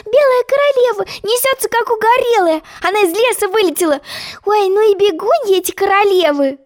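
A woman voicing an eager, excitable little girl in a fairy tale, full of exclamations. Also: very high voice, fairly quick, voice pushed up. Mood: excited. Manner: exclamatory, wide-eyed.

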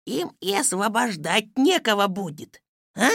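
A woman's voice: mid-range voice, big pitch swings, a little slow, a bit bright, no echo, clean studio sound, full character voice. A woman voicing a cowardly, insolent male scribe with a whiny, wheedling manner in a storybook reading. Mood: servile.

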